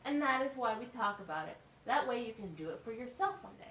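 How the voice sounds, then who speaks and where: A woman acts out a methodical, encouraging teacher in a puppet show. Her voice is fairly high with lively intonation. She guides with plain questions and explanations, heard in a roomy recording. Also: fast talker. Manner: instructive, question-led, plain wording. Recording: roomy, distant mic, faint noise.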